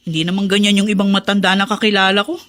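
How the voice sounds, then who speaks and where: An actress playing a weary, dutiful adult daughter in a radio drama, exasperated and aggrieved. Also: female voice, medium pitch, level tone, fast, fairly bright tone, close to an everyday voice. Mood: frustrated. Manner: aggrieved, complaining.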